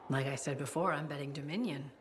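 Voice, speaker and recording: A woman's voice: sits low, fast, a little hiss or hum, lightly acted. An actress playing a guarded female spy handler who talks in a terse, matter-of-fact way. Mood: businesslike. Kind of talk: video game dialogue.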